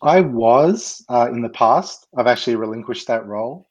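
A man, a guest expert, explaining a technical subject in a measured way with many ums and uhs, speaking over a video call. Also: mid-range voice, animated, dull audio. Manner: measured, with frequent um and uh fillers.

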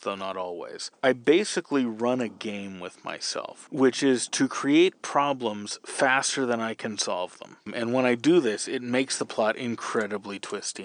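A man's voice: mid-range voice, no echo. A man hosting a podcast, casually explaining his working methods as a novelist in answer to a question. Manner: explanatory, conversational. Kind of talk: casual advice talk answering a listener question.

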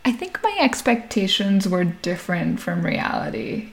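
A woman in her forties who grew up in Nigeria, chatting warmly as an interview guest, laughing often and restarting her sentences. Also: medium pitch, very animated, moderate pace, gravelly. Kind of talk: casual interview chat. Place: Berlin.

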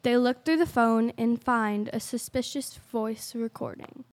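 A woman's voice: a little high, fairly even intonation, a little slow. A female narrator reading a scripted story for an amateur youth radio play.

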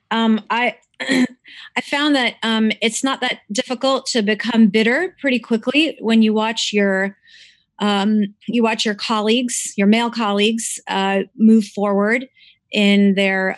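A woman's voice: medium pitch, fairly even intonation, measured pace, no echo. An American woman from Ohio who works as a theological librarian, speaking hesitantly with many ums in an interview. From Cleveland, Ohio, USA. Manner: hesitant, many ums and restarts, laughs easily. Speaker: guest, theological librarian and faculty member. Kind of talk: casual interview conversation.

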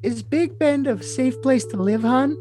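A man's voice: very high voice, measured pace, warm tone. A man voicing a lovesick, scheming young woman in a cutesy, coy, overly dramatic comic reading of messages. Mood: giddy, affectionate. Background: music.

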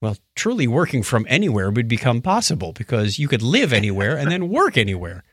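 A man hosting a podcast in casual chat, telling stories in an animated way and acting out what others said. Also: medium pitch, a little fast, no echo, studio quality. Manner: animated storytelling, acts out quotes. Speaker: podcast host.

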